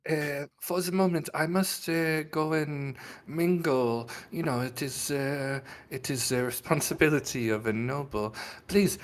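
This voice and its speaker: A man voicing a flirtatious, seductive noblewoman in a courtly, halting and coy manner for a tabletop game. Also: on the high side, natural voice.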